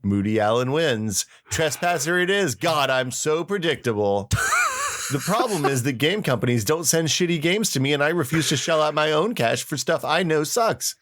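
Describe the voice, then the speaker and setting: A man's voice: on the high side, expressive, normal speed, fairly bright tone. A middle-aged American man co-hosting a podcast, chatting casually and reading old posts aloud in a wry, self-mocking way. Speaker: podcast co-host.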